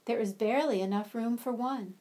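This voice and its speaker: A woman's voice: a little high, a little fast, dark tone, close to an everyday voice. A woman voicing a small male ant who speaks plainly and earnestly. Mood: fussy. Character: small male ant, fussy then kindly.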